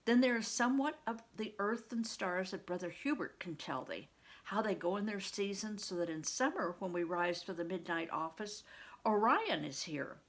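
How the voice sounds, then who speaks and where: A woman voicing a kindly, patient male monk who teaches in archaic, measured phrasing for a storybook. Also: mid-range voice, close to an everyday voice. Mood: thoughtful.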